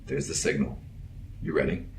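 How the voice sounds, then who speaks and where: A man playing a calm, loyal, dry-witted protector in an audio drama, terse and formal in manner. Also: rumbling low, normal speed. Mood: calm, resolute. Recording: clear background noise, ambience.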